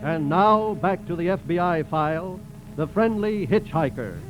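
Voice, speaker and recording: A man reading a sponsor's message as a 1940s radio announcer, formal, deliberate and persuasive. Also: high voice, lively intonation, over loud music. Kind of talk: 1940s radio advertising read. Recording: noisy vintage 1940s radio broadcast.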